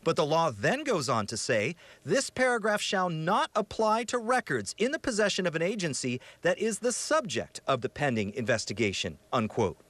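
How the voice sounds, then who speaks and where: A man's voice: a little high, big pitch swings, moderate pace, hardly any pauses, a bit bright, no room sound. An American man reading scripted investigative news as a television reporter, with a serious, emphatic broadcast delivery.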